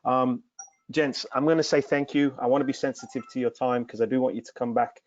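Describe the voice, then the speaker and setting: A man's voice: mid-range voice, steady tone, brisk, no echo. Male webinar host, Greek native speaker, effusive and complimentary, dry lo-fi call audio. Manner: effusive, heaping praise on guests. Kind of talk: hosting an online webinar. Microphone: video call.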